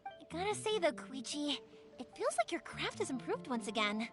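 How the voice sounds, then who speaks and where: An actress playing a cheerful, caring young woman in a video game, chatty, expressive and easygoing. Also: high-pitched, moderate pace, music in the background. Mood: breezy, confident. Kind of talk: video game character acting.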